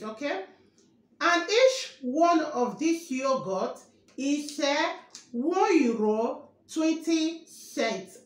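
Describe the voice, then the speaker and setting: A woman in her thirties speaks slowly in a high voice, going through her groceries item by item. She repeats each price and keeps asking viewers whether they can see what she holds up. The kitchen sounds roomy and echoey, with a faint hiss underneath. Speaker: vlogger. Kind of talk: grocery haul show-and-tell. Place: kitchen, indoors. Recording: echoey room with faint noise.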